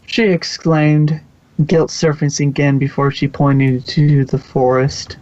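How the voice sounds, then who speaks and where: A man's voice: fairly high pitch, fairly even intonation, takes it slowly, a little mellow, highs cut off. A man reading scripted storybook narration for a fan fiction audio production.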